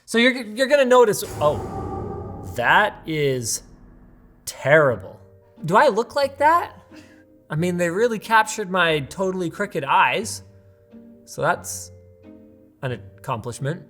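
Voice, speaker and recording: A Canadian man unboxing a gadget on camera, chatting casually with quick swings of excitement and dry sarcasm. His pitch jumps around in a lively way, and faint music plays underneath. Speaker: tech video host.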